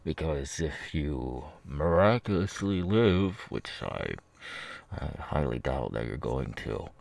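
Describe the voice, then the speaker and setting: A man plays a menacing ex-convict kidnapper in an ASMR roleplay, mocking his captive with a halting sneer. The voice is very deep and gravelly, drawn out slowly in a drawl. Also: sing-song, a little mellow, no echo, heavily acted. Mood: smug, threatening.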